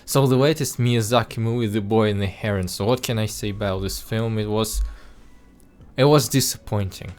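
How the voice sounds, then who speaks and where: A man's voice: lowish voice, moderate pace, darkish tone, faint music behind. A man speaking English as a second language with an accent listeners have taken for Russian, talking through a film review in an earnest way, with frequent restarts and filler words. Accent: Russian-sounding non-native English. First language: not English. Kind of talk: casual spoken film review.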